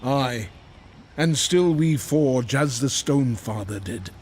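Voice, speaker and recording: A man playing a solemn old Maker in a fantasy game, telling ancient lore in a grave, deliberate manner. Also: medium pitch, expressive, a little mellow, slight background noise.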